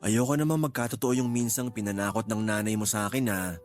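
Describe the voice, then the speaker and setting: A man playing a supportive, middle-aged working father, gentle and fatherly, in a radio drama. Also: lowish voice, steady tone, fast, soft background music, lightly acted. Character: supportive, teasing aging father, a construction worker. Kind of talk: Tagalog radio drama acting.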